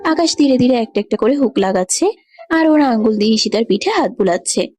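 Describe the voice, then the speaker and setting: A woman's voice: a little high, lively intonation, a little fast, a little mellow, no room sound, soft background music. A woman giving scripted narration of a romantic story.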